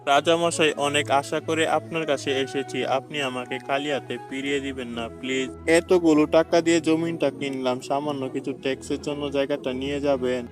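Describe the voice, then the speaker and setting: A man's voice: mid-range voice, steady tone, fairly quick, few pauses, close to an everyday voice. A man voicing a desperate poor farmer. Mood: despairing. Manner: pleading and lamenting. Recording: background music.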